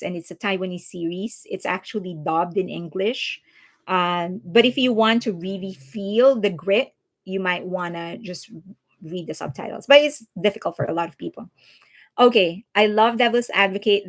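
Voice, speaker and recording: A woman from the Philippines with a Filipino accent, hosting a casual livestream and answering viewer comments in a chatty, earnest way. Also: mid-range voice. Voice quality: chatty, earnest, frequent restarts and fillers. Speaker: livestream host.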